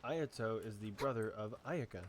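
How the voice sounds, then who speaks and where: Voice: medium pitch, moderate pace, a little hiss or hum. A gamer of unclear sex giving casual, hesitant commentary on a playthrough in an offhand way.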